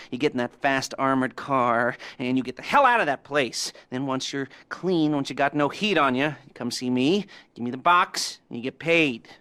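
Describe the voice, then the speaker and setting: A sly middle-aged heist boss in a game cutscene, giggling through his pauses and dropping leering innuendo. His voice is bright and jumps around in pitch. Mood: instructive. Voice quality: giggling, rambling with many pauses. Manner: creepy innuendo, teasing. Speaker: heist mission giver. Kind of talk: video game cutscene dialogue.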